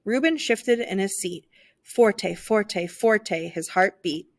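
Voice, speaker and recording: A woman narrating a children's picture book aloud in an expressive, theatrical storytelling style. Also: medium pitch, slow pace, no room sound.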